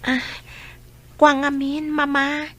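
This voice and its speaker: A woman's voice: high voice, monotone, takes it slowly, fairly bright tone. A Filipino woman playing a hesitant, respectful young daughter in a radio drama. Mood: nervous, hesitant.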